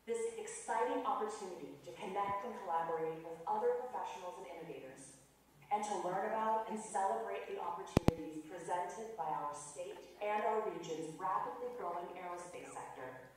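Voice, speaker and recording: A woman's voice: lowish voice, normal speed. Very echoey woman reading a speech. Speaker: political field representative. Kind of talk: reading a prepared formal greeting speech. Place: small room at home, video call. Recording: very echoey, distant, faint noise.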